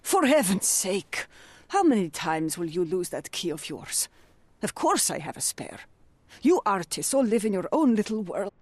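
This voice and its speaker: A sharp-tongued elderly landlady, guards her door with stern suspicion in a detective game. Her scolding comes out at an even middle pitch. The sound is dry and close, with no room around it. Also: normal speed, slightly rough, dry recording. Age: older. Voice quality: scolding, bossy.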